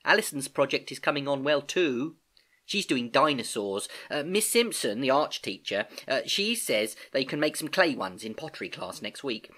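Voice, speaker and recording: An earnest, curious schoolgirl from a storybook, put on by the narrator. Her voice sits high and breathy, with swooping questions and ready exasperation. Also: big pitch swings, normal speed, fairly bright tone. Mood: chatty. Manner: questioning, eager.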